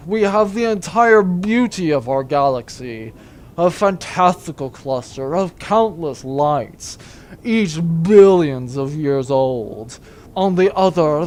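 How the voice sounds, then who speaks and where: An actor playing a grandiose, pompous space adventurer with theatrical delivery in a comic sci-fi audio drama. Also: male voice, high voice. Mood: grand.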